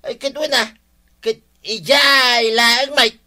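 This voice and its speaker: Shirking borrower neighbour, Ilocano accent, high male voice, very sharp and forceful, dismissive, radio comedy. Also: steady tone, slow, exaggerated voice. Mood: irritated. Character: lazy, evasive neighbour man who borrows everything.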